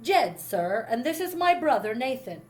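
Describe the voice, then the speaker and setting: A woman voicing a plucky, anxious American fisher boy in a storybook, urgent and excitable. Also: fairly high pitch, moderate pace. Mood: polite.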